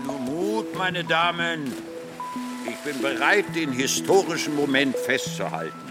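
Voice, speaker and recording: An actor playing a genial, nostalgic older merchant in a radio drama, courteous and old-fashioned in manner. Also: male voice, on the high side, big pitch swings, a little slow, loud music behind the voice. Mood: gallant, upbeat.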